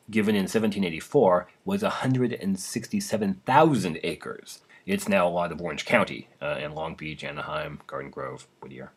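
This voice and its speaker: A man with a fairly low voice introduces programs as a podcast host. He reads prepared narration in an explanatory manner and drops in casual asides. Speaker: host of an old-time radio podcast.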